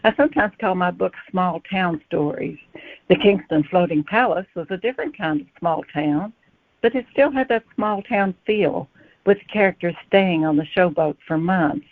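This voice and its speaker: A woman's voice: fairly low pitch, moderate pace. A woman from Kentucky giving prepared, reflective answers as a guest author, in a storytelling style. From Kentucky, USA. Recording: thin phone-like sound.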